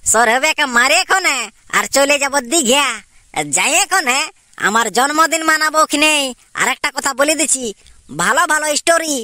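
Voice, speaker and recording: A man's voice: very high voice, pushed and bright, no echo, full character voice. A man with a Purulia Bengali accent voicing a cocky, scheming young secret lover in an amateur cartoon, with an insistent, plotting manner. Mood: cocky, eager.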